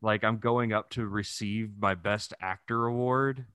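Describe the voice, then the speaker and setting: A man's voice: on the low side, steady tone, unhurried, a bit bright, clear, resonant tone, no room sound, studio quality. A man in his thirties chatting casually and jokily as a podcast co-host, telling stories with loose, profane humor. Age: 30s. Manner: profane, jokey storytelling with frequent chuckling. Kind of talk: casual comedic chat.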